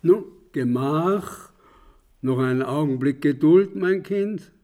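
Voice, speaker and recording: An actor in a German radio play playing a genial aristocratic host with a courtly, measured manner. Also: male voice, fairly high pitch, dark tone. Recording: faint music behind the voice.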